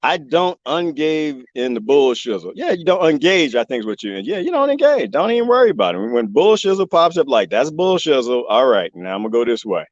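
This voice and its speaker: A man live streaming from the desert, joking and rambling in a loose, animated way. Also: on the high side, fairly quick, few pauses, dry recording, muffled recording. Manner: animated, joking, imitates bird chirps. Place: outdoors in the desert.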